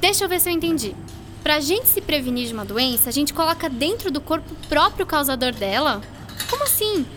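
High-voiced, curious Brazilian girl. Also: big pitch swings, fairly quick, fairly bright tone, a little hiss or hum. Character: curious, eager young girl, granddaughter. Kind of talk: educational radio drama acting.

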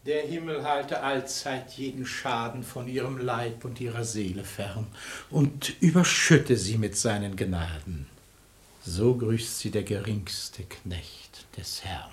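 A man's voice: medium pitch, slow, lightly acted. A male actor playing a sanctimonious, lecherous hypocrite in a verse radio play, with an unctuous, declamatory delivery. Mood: unctuous piety. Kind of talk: German radio play acting in rhymed verse.

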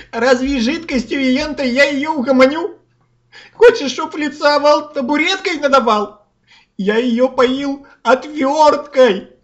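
A man's voice: very high-pitched, highs cut off, voice pushed up. A man voicing an exasperated, blustering tsar who scolds in crude folksy rhyme, in a comic fairy tale. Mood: furious. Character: exasperated, blustering male tsar, father of a teenage girl.

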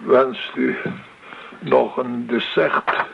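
An actor playing a stiffly formal, fussy waiter in a radio drama, with a gravelly, creaky voice. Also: male voice, medium pitch, fairly even intonation, extremely slow, full character voice. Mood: stiffly polite. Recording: dull, noisy radio drama recording.

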